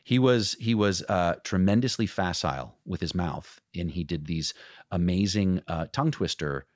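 A voice actor from Toronto with an American accent, Greek his first language, chatting excitedly in an interview. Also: low-pitched, normal speed, no room sound, clean studio sound. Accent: General American. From Toronto, Canada. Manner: animated, excitable, with restarts and impressions. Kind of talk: casual interview chat.

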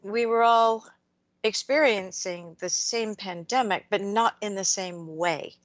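A woman hosting a radio interview, speaking in a measured, reflective way and asking long framing questions. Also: mid-range voice, dry recording, highs cut off. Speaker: radio show host.

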